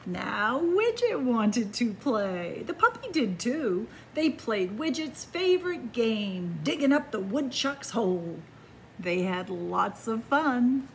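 A woman reading a picture book aloud to children in a library, with slow, expressive storytelling, hushed whispers and excited rises. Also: on the high side, vocal fry, a little hiss or hum. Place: library in front of bookshelves. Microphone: camera facing the book.

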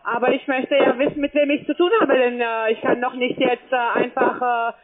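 A man with a very high voice works through a call center sales pitch. He speaks haltingly, often searching for his words. The sound is a narrow phone line with loud chatter all around him. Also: flat delivery. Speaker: call center caller selling crypto trading.

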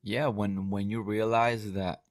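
A man's voice: fairly low pitch, measured pace, no room sound. A young adult man hosting a podcast, asking questions casually into a studio mic in a small room at home. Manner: relaxed, quiet affirmations. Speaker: podcast host. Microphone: studio mic on boom with headphones.

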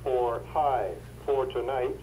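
A man reading a weather forecast on the radio in a measured, matter-of-fact way, heard through a small radio speaker. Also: mid-range voice, little pitch movement, reverberant, crowd noise, poor audio. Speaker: weather broadcaster.

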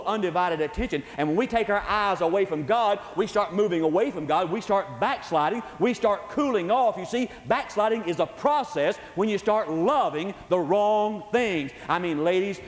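A man preaching an evangelistic sermon in an emphatic, exhortative way, repeating key phrases and addressing listeners directly in folksy, conversational language. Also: high-pitched. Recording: echoey hall with long reverb.

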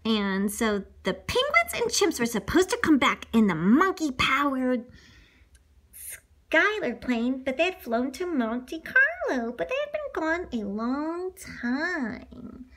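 A woman's voice: high voice, sing-song, takes it slowly, a bit bright. A woman reading a children's story aloud in a playful, exclamatory way, with ad-libs along the way.

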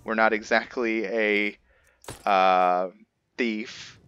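A man giving casual gameplay commentary as host, thinking aloud in a halting way. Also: fairly low pitch, steady tone, a bit bright, clear, resonant tone, muffled recording. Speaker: gaming video host.

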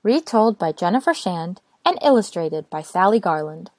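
A woman narrating a children's storybook aloud in an expressive, careful way. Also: fairly low pitch, normal speed, no room sound. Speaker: storytime reader.

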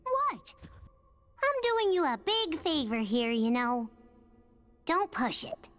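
A fussy little cloud creature. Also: male voice, very high voice, sing-song, takes it slowly, fairly bright tone, long reverb tail, full character voice. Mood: evasive. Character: small round cloud-world creature, evasive and fussy.

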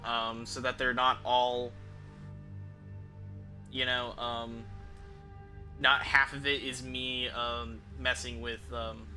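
A man's voice: medium pitch, little pitch movement, slow pace, pauses often, sharp tone. Rambling male game streamer over music. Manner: rambling, profane, thinking aloud. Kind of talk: casual live gameplay commentary.

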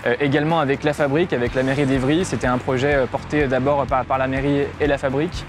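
A man's voice: mid-range voice, steady tone, fast, background noise. A young man, a law student, speaking in a street interview with frequent hesitations. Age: young adult. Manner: hesitant, many "euh" fillers. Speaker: law student, association president. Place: outdoors in front of a building. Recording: music bed under the voice.

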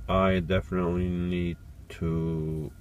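A man's voice: deep voice, flat delivery, slow, darkish tone, muffled recording, slight background noise. A man in his forties making a casual vlog inside a small camper van, thinking aloud with fillers and restarts as he works. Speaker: van life vlogger.

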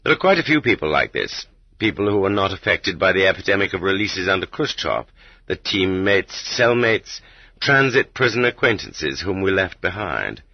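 Male audiobook reader, husky, dry studio sound slightly muffled, measured and dramatic emphasis. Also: sits low, big pitch swings, dry recording. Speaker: audiobook narrator. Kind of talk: scripted audiobook narration.

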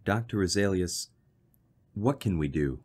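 A man playing an earnest, worried fiancé in an audio drama, speaking politely and seriously in a measured way. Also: low voice, lively intonation, a little mellow, husky, heavily acted. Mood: earnest, uneasy. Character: earnest, worried young fiancé telling his strange story. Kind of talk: audio drama acting and narration.

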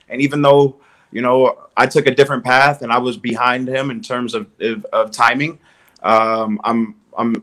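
A man's voice: medium pitch, a bit bright. A man in his thirties from Southern California talks as a guest on a video call. He sounds upbeat and friendly. He often repeats words while he gathers his thoughts. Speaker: guest, strength and conditioning coach. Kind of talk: casual video call interview.

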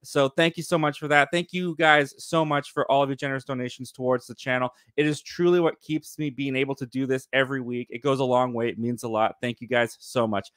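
A man in his twenties hosting a casual live movie chat show, talking quickly and cheerfully with lots of fillers, close to a boom mic in a home studio. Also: steady tone, a bit bright, no room sound. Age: 20s. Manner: chatty, quick and upbeat, with frequent fillers. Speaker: livestream host. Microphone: boom mic in front of face.

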